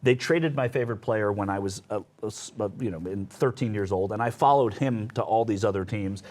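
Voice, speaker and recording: A man from New York City, a panelist in a casual sports debate, animated and full of restarts. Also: fairly low pitch, fairly quick. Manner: animated, talks over others with restarts.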